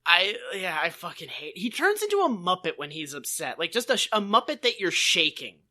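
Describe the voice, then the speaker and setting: A man's voice: high voice, big pitch swings, fairly quick, pushed and bright. A man co-hosting a podcast with casual comedic commentary, riffing sarcastically and swearing freely. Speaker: podcast co-host.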